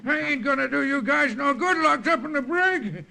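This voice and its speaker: An actor playing an old, half-cracked, wheedling drunk who rambles in folksy fashion, in an old-time radio drama. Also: male voice, very high voice, lively intonation, normal speed, vocal fry, sharp tone, full character voice. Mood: sly, ingratiating. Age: older. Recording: vintage radio drama recording.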